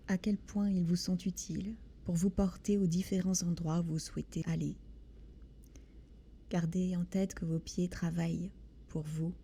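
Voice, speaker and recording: A woman leads a guided body scan meditation in a soothing, gentle manner, with deliberate pauses between short phrases. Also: on the low side. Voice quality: soft, breathy. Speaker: guided relaxation instructor.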